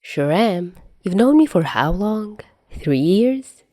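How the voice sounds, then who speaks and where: A woman's voice: medium pitch, sing-song, slow, dark tone, no echo, clean studio sound. A woman voicing a wry, fatherly grown man in an audiobook story, teasing yet patient and protective.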